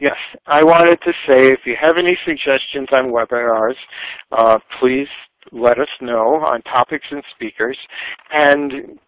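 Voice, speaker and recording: Older man, halting, on dull webinar audio. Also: medium pitch, no echo. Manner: halting, digressive, trailing asides. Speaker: speaker at a professional society webinar. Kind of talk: informal webinar discussion.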